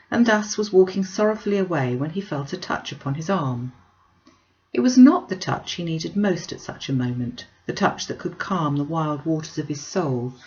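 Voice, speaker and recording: A woman reading a classic novel aloud as expressive storybook narration. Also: sits low, muffled recording. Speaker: audiobook reader.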